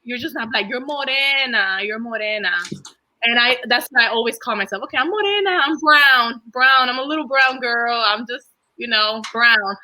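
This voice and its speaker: Bright woman moderating a panel. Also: a little high, normal speed, low-quality recording. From Dominican Republic. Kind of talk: casual panel hosting and personal storytelling.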